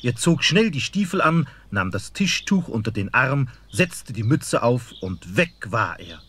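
A man narrating a fairy tale in an expressive storytelling manner. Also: medium pitch, measured pace, breathy edge.

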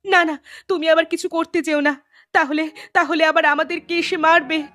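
A woman's voice: extremely high pitch, steady tone, normal speed, a bit bright, no room sound, studio quality. A woman playing a distressed village wife in a horror cartoon, speaking melodramatically. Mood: anxious. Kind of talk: Bengali horror cartoon dialogue.

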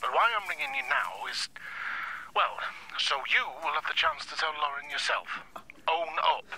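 Sharp, stern father-in-law on telephone. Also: fairly high pitch, wildly swooping pitch, normal speed, vocal fry, exaggerated voice. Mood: stern, reproachful. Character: stern, moralising older father-in-law on the phone. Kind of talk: radio drama acting. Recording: phone call, faint noise.

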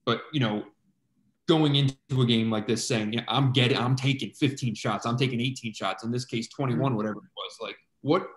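A man's voice: mid-range voice, fairly even intonation, a little fast, echoey room, low-quality recording. A man, a sports reporter on a video call, asking a question hesitantly and rephrasing as he goes. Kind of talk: press conference question.

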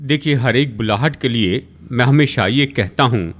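A man's voice: mid-range voice, a little slow, a bit bright. A middle-aged man explaining religious teaching in a didactic way, repeating key phrases, as in a studio talk. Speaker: guest Bible teacher.

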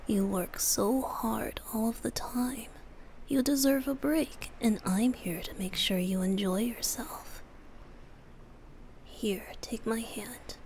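A woman voicing a youthful male wind-spirit bard, soft, gentle and teasing, often breathy, in a romantic ASMR roleplay. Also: fairly high pitch, slow. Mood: tender.

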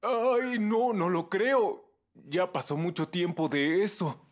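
Slow-talking mild cartoon dad. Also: male voice, sits high. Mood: reluctant. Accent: Latin American Spanish. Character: mild, hesitant young father coming home. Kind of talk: children's animated dubbing.

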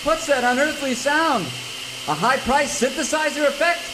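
An actor playing a mild, wary cowboy with a hesitant, dry manner in a radio serial parody. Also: male voice, very high-pitched, moderate pace, background noise. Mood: worried, wry.

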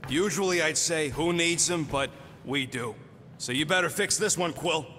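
A man voicing a small, gruff raccoon mercenary in a video game, brash and sarcastic. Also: fairly high pitch, moderate pace, sharp tone, in a large hall, a little hiss or hum. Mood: brash, scolding. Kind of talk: video game character acting.

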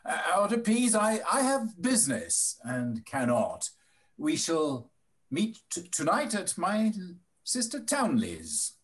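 A man's voice: high-pitched, huge pitch swings, slow, heavily acted. An older man playing a genial, bluff old father in a Restoration comedy reading, stammering and chuckling. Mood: amused, bluff. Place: home room on a video call.

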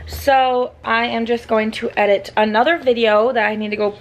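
Slightly hoarse chatty woman in her twenties. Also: a little high, fairly even intonation, moderate pace. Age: 20s. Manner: chatty, rambling, lots of "like". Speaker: lifestyle vlogger. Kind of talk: casual vlog chat. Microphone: handheld compact camera.

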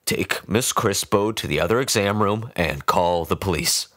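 A man voicing a shaken middle-aged veterinarian who speaks in a nervous, halting way. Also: deep voice, fairly bright tone. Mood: urgent.

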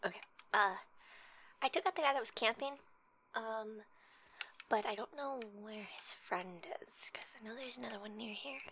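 Woman playing a playful competitive young gamer girlfriend, slightly high voice, ASMR roleplay, teasing, breaks into whispers, noisy clicking behind. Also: moderate pace, natural voice. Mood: focused. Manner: teasing, casual, often dropping into whispers.

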